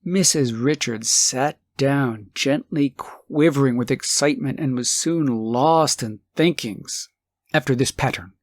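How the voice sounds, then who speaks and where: A man narrating a literary audiobook in an expressive storytelling style. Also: medium pitch, a little slow, no room sound.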